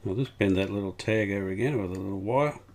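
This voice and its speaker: Low-voiced man giving casual workbench commentary. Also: quick pace, darkish tone. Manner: understated, unscripted, matter-of-fact. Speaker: restorer presenting a hands-on vintage radio repair.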